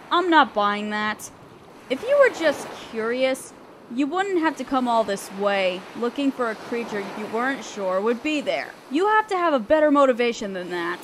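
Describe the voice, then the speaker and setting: Woman voicing a high, prickly young selkie. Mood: guarded, suspicious. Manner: aloof, hesitant, teasing, softening into curiosity. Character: young female selkie, guarded, prickly but curious sea creature. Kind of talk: scripted roleplay audio drama addressed to the listener. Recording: ocean waves and wind behind voice. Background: surf, waves and wind sound effects.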